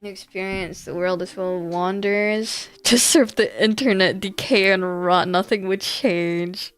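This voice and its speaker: A woman's voice: mid-range voice, fairly even intonation, a little slow, clear, resonant tone. A woman doing casual livestream game commentary, hesitant and trailing off as she thinks aloud to herself. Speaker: video game streamer. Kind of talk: casual livestream gameplay commentary, muttering choices to self.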